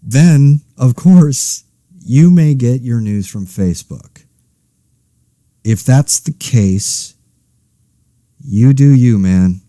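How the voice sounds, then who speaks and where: A man's voice: medium pitch, extremely slow, long pauses, warm tone, no echo, clean studio sound. A man playing an exasperated, anxious everyman podcaster in a conversational audio drama, rambling wryly and interrupting himself. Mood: wry, frustrated. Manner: wry, rambling, self-interrupting. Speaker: host of a fictional monologue podcast.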